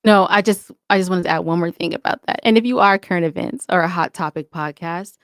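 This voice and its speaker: A woman's voice: fairly low pitch, quick pace, dry recording, clean studio sound. A woman podcaster speaking conversationally as an interview guest, giving advice in an emphatic, opinionated way.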